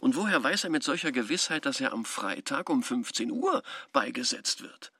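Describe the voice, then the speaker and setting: Gravelly, pedantic radio-play economist. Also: male voice, mid-range voice, big pitch swings, sharp tone, no room sound, clean studio sound, heavily acted. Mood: puzzled, reasoning. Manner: pedantic, deliberate reasoning. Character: shrewd middle-aged Kiev economist puzzling over a telegram.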